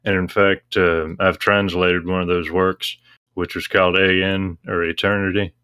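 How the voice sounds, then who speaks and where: Low-voiced man, flat and matter-of-fact. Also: normal speed, clear, resonant tone, no room sound, studio quality. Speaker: interview guest, visual novel translator. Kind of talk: casual explanatory discussion.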